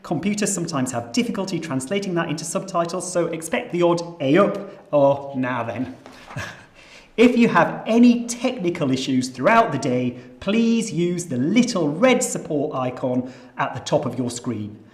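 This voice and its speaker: A Yorkshire-accented host runs through event housekeeping in a steady mid-pitched voice. The delivery is scripted but dotted with light self-deprecating jokes. The voice carries a noticeably roomy, echoing sound. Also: normal speed. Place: nature reserve headquarters.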